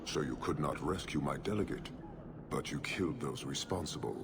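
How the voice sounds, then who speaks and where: An actor voicing a stern, commanding giant warrior leader in a video game, speaking in a measured, blunt way. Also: male voice. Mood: stern, grave. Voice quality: very deep, gravelly. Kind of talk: dramatic video game acting. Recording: loud ambient noise behind.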